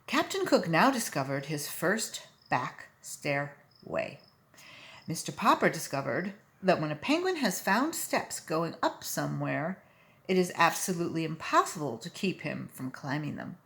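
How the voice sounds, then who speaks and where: A woman reading a children's story aloud in an expressive, emphatic storytelling manner. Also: sits low, a little slow, vocal fry. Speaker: volunteer story reader.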